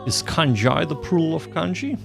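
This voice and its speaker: A man's voice: a little high, animated, normal speed, creaky. A man hosting a livestream, chatting casually and jokingly with viewers and often repeating short phrases. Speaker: livestream host. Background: faint music.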